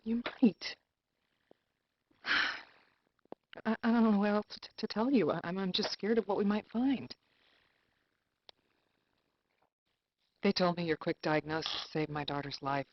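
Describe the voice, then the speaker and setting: A woman's voice: mid-range voice, moderate pace, pauses often, dry recording, lightly acted. A middle-aged woman acting as an anxious, nagging mother in a live-action game scene. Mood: scared, tearful relief. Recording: dull lo-fi game video audio.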